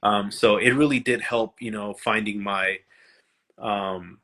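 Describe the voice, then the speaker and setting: A man with a fairly low voice talks over a livestream video call. He speaks shyly and haltingly, stumbling and restarting his sentences as he goes. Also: fairly even intonation, a bit bright. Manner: shy, halting, many restarts. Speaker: musician, livestream guest. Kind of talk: casual livestream chat.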